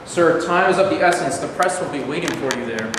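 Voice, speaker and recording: A man's voice: on the high side, animated, brisk, natural voice. A man in a staged reading playing an urgent, dutiful aide to a president. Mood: urgent, formal. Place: large room at a live staged reading. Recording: echoey hall, far off, noisy.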